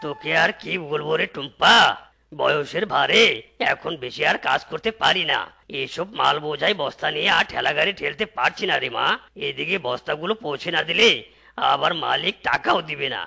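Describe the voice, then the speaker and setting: A man voicing an aging working animal, a cart-pulling uncle in a children's cartoon, with a gravelly, strained voice. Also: fairly high pitch, fairly quick, bright, forceful tone, exaggerated voice. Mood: weary.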